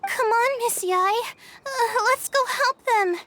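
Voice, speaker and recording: A woman voicing a small excitable female companion sprite in a video game cutscene, chirpy and eager. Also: very high-pitched, slow pace, no room sound, full character voice. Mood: excited. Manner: chirpy, eager, cheering on allies.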